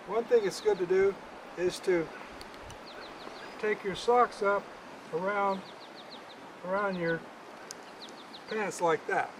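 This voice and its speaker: An older man giving a casual how-to demonstration as a fishing guide, folksy and halting, often repeating words, recorded outdoors from a few metres away. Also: high voice. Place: outdoors at a roadside in the country, by a car. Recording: clear outdoor background noise.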